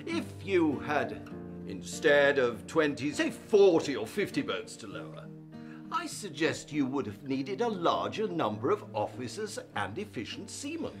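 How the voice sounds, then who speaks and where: An actor playing an insistent union barrister in a formal, accusatory courtroom radio drama. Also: male voice, a little high, very animated, measured pace, music in the background. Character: dogged, indignant male union barrister at a shipwreck inquiry.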